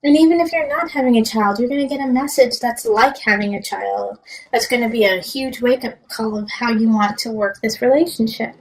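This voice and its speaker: High-voiced woman giving a tarot reading. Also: brisk, low-quality recording. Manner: emphatic, encouraging, addressing the listener directly. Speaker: tarot reader. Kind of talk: conversational tarot reading with advice.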